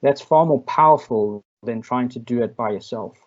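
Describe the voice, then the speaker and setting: A man from South Africa with a South African accent, answering questions in an online masterclass in a measured, reflective way with frequent ums and restarts. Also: mid-range voice, muffled recording. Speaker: invited speaker.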